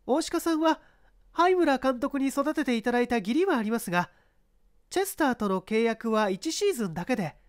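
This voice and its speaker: A woman's voice: on the high side, no room sound, clean studio sound. A woman playing a composed young female witness, speaking politely and formally in a dramatized mystery reading. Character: composed young woman giving testimony to police.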